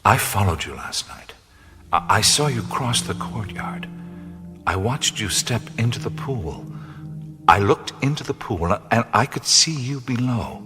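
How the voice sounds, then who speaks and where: An actor playing a weary, reflective adventurer in a radio play, speaking slowly and often in a hush. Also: male voice, mid-range voice, animated, big-room sound, over music, close to an everyday voice. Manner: slow, reflective, often hushed. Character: wry, weary adventurer-mystic man. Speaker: lead character.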